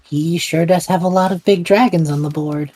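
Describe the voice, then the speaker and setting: A woman's voice: lowish voice, steady tone, moderate pace, mellow, slightly rough, faint music behind. A woman playing a bewildered but supportive young man in an audio drama, casual, hesitant and wry. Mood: teasing reassurance.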